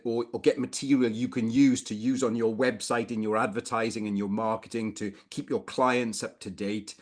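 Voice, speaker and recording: A middle-aged man from Wales giving a conversational legal lecture over a video call, informal with bursts of emphasis. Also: fairly low pitch. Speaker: barrister presenting a legal webinar. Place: small room indoors, video call.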